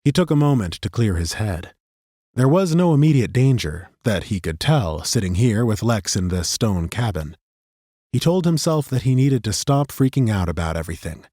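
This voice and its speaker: Expressive low-voiced male audiobook narrator. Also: moderate pace, no echo, clean studio sound. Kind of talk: expressive scripted fantasy narration. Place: dry recording studio.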